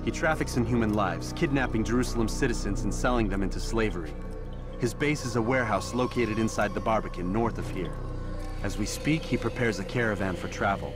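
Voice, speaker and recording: An actor playing a stern, defiant medieval assassin in a video game, terse and measured in his speech. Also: lowish voice, music in the background, natural voice. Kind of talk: video game cutscene acting.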